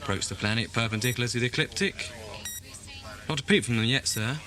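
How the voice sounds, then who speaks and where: A mid-pitched male voice with music behind it, a man acting a dutiful starship officer in a science fiction audio drama. His reporting is crisp and formal, with a chatty streak. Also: fairly quick, sharp tone, close to an everyday voice. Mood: brisk, dutiful. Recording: music-backed amateur 1980s audio drama.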